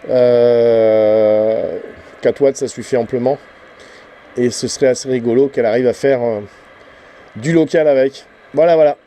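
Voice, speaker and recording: A man's voice: mid-range voice, fairly even intonation, measured pace, mellow, slight background noise. A man giving a casual, rambling hobbyist vlog commentary, hesitant and often trailing off with little tag words. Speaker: amateur CB radio hobbyist and channel host.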